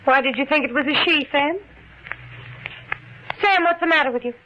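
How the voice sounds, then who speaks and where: Woman playing a high-voiced, worried 1940s radio wife. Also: normal speed, close to an everyday voice. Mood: puzzled, concerned. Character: worried, practical, questioning wife of a shopkeeper. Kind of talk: 1940s radio mystery acting. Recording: muffled vintage radio recording, faint noise.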